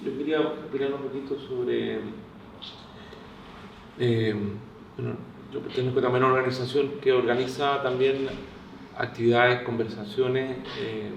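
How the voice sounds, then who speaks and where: A Chilean man offering a reflection at a public discussion, speaking hesitantly and searching for his words. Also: mid-range voice. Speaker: audience participant from a community organization. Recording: roomy, distant mic, faint noise.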